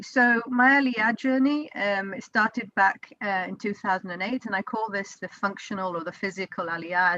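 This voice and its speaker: A woman's voice: mid-range voice, sharp tone, no room sound, lo-fi sound. A woman in her forties with a British accent, giving a chatty informal talk to an online networking group, full of fillers and restarts. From Israel. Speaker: company co-founder presenting to a networking group. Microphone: video call.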